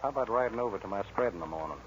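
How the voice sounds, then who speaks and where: An actor playing a shrewd, older American cattle baron with a folksy, smooth-talking manner in a 1940s radio western. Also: male voice, lowish voice, lively intonation, rapid-fire, close to an everyday voice. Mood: sly, approving. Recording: dull old radio, crowd noise behind.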